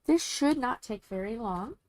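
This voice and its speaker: A woman in her forties with a young-sounding, soft voice, chatting casually while she crafts on a livestream. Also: fairly high pitch, lively intonation, measured pace. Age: 40s. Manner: soft, sleepy-sounding delivery.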